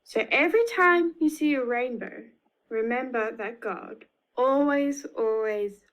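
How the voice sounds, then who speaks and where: A woman's voice: on the high side, unhurried, a bit bright. A woman with an Australian accent reading a picture book to young children, expressively, with emphatic repetition. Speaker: children's storyteller.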